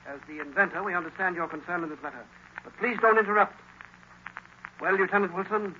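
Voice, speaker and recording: A man's voice: high voice, fast talker, pushed and bright. A man playing a stern, impatient army general in 1930s radio drama, speaking formally and with command. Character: stern, impatient army general presiding over court-martial. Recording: noisy muffled 1930s radio recording.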